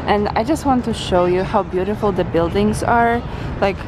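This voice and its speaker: A woman's voice: mid-range voice, moderate pace, background noise. A young woman from Poland, a native Polish speaker, casually narrating a travel vlog to a handheld camera outdoors in the city. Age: young adult. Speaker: vlogger, exchange student. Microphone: handheld camera at arm's length. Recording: loud music behind the voice.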